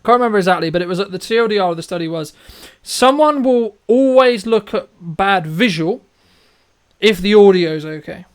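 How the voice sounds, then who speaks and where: A man's voice: high-pitched, expressive, normal speed, clean tone. A man hosting a casual interview, talking in a rambling, repetitive way and often checking in with short tag questions. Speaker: interview host, filmmaker.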